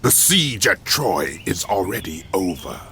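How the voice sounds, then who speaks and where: An actor playing a menacing, commanding villain general in a game cutscene, brooding and grandiose as if reciting ominous verse. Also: male voice, low voice, big pitch swings, measured pace, bright, forceful tone, slight background noise, full character voice. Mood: stern, threatening. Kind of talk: dramatic game cutscene acting. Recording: music behind the voice.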